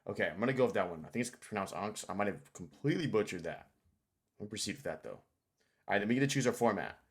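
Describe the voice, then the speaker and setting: A man's voice: lowish voice, expressive, fast. A man hosting a casual screen-share tutorial, informal and thinking aloud as he goes. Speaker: tutorial host.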